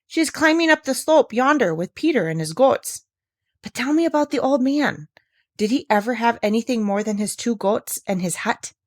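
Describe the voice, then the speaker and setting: A woman playing a nosy, exclamatory village gossip, lively pitch swings. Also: moderate pace, no room sound, clean studio sound. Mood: curious. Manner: exclamatory, emphatic, gossipy questioning. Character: talkative, nosy village woman, alarmed and inquisitive. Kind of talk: storybook character reading.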